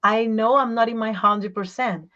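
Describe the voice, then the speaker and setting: A woman voice teacher and singer, talking in a casual interview, animated and explaining with examples, sometimes searching for her words in English. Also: fairly high pitch, normal speed, lo-fi sound. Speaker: voice teacher and singer, podcast guest.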